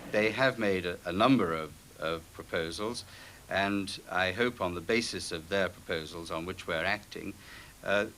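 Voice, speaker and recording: A man, an official answering formally in an interview with careful, halting phrasing. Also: on the low side, sharp tone. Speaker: aviation authority official. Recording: faint hiss, dated TV interview.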